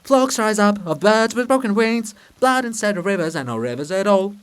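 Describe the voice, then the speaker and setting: A man's voice: sits high, lively intonation, normal speed, clear, resonant tone, voice pushed up. A man performing a lamenting chorus that prophesies doom in a stage tragedy, declamatory and incantatory. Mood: doom-laden.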